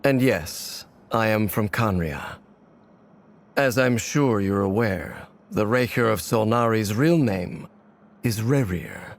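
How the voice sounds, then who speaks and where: A man's voice: lowish voice, expressive, slow pace. A man voicing a solemn, mysterious masked wanderer in a fantasy game, speaking in a grave, guarded, formal way. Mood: solemn, measured. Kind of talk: video game character acting.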